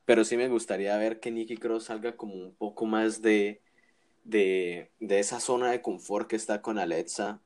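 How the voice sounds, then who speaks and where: A man's voice: fairly low pitch, fairly even intonation, normal speed, a little mellow. A man co-hosting a casual wrestling chat, giving opinions with frequent restarts and tag questions. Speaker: wrestling podcast co-host.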